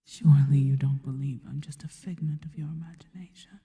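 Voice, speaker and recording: Casting: actress as adoring, sinister female spider creature for ASMR roleplay, low breathy whisper, very dark and soft, drenched in reverb. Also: steady tone, lightly acted. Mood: reverent, teasing. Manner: slow, deliberate, intimate, formal phrasing. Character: seductive spider-woman monster, adoring, sinister, slow and reverent. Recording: long reverberant echo.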